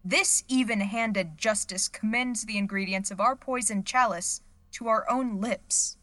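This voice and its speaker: A woman's voice: medium pitch, measured pace, a bit bright, no echo, lightly acted. A woman playing an earnest, unsure young understudy actress with a hesitant manner. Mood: earnest reciting.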